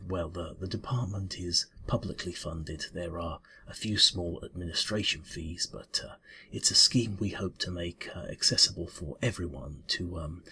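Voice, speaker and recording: Casting: male actor as a polite, flustered bureau-cat interviewer for ASMR roleplay. Deep yet bright-edged voice, halting officious mumble. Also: gravelly, slight background noise, exaggerated voice. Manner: hesitant, mumbling, halting, formal.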